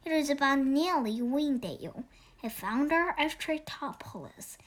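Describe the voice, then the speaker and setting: A young girl around eight reads a children's story aloud. Her voice is high and very lively in pitch, and her pace is slow. She reads earnestly and carefully, now and then stumbling over a word. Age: child, about eight. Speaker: young reader of a children's book read-aloud. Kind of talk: expressive storybook read-aloud narration.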